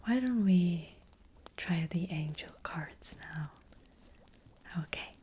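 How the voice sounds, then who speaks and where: A woman voicing a gentle witch fortune teller in a soft ASMR roleplay, whispering breathily and hesitantly, close to the microphone. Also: lowish voice, animated, slight background noise. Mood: gentle, attentive. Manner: gentle, hesitant, slow and reassuring.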